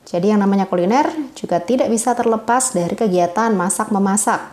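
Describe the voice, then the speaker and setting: A woman tutor working through exam questions, reading the answer options slowly and explaining them patiently to her viewers. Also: fairly high pitch, dark tone, echoey room.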